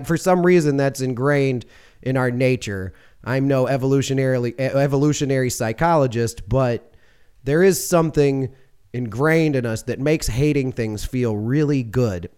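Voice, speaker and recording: A man hosting a podcast, talking in a rambling, casual way, his voice a little hoarse from losing it. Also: medium pitch, darkish tone. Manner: rambling, conversational, with fillers and self-corrections. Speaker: podcast host. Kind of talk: casual monologue.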